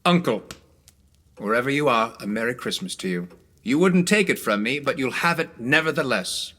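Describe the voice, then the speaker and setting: An actor playing a kindly, sentimental young gentleman in a radio play, genial and earnest. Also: medium pitch, expressive, moderate pace. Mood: hearty.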